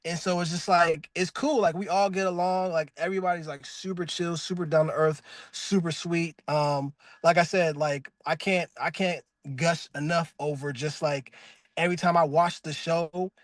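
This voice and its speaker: A man's voice: fairly even intonation, moderate pace, no room sound, studio quality. A man who voices a cartoon character, talking as a guest in a casual interview. He gushes loosely, with plenty of "like" and restarts. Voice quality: calls his own voice really deep. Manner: loose, effusive talk full of "like" and restarts. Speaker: voice actor guest.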